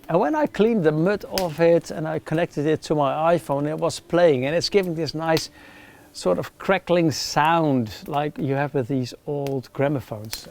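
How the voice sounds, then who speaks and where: A middle-aged man with a Dutch accent, chatting casually to camera outdoors by a campfire, relaxed with frequent ums. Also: fairly high pitch, a little hiss or hum. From Netherlands. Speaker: outdoor gear reviewer.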